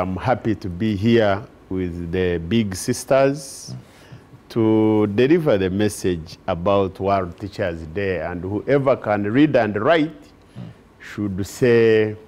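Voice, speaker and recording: A man's voice: fairly low pitch, a little mellow. A man, a teachers union leader, speaking formally as a guest on a TV talk show. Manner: measured, formal. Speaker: teachers union general secretary. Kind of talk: TV studio interview. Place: TV studio set. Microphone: lapel.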